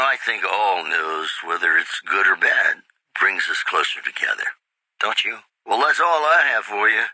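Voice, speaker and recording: A silky radio crooner from a game's wasteland, bright and forward in tone and lively in pitch. He flatters his listeners with velvety charm, close to a dry studio mic. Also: no echo, full character voice, poor audio. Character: smooth, flirtatious male radio DJ of the wasteland. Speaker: radio host and newsreader. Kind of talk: crooning radio announcer lines.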